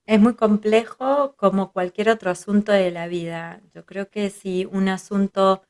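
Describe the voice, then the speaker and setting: A woman's voice: medium pitch, steady tone, unhurried, darkish tone. A woman with an Argentine Spanish accent, whose first language is Hebrew, speaking as a guest psychologist in a reflective interview, thinking aloud and often adding tag questions. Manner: thoughtful, listing ideas with frequent tag questions.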